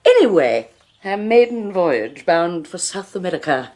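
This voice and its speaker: A tyrannical rich woman who drawls her mockery slowly, her lowish voice swooping wildly up and down. She sounds sneering and theatrical, like a villain in an old radio horror play. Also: very animated, darkish tone. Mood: mocking. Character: despotic rich older woman, mocking, domineering. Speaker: Henrietta Forsythe. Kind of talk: 1980s radio horror drama acting.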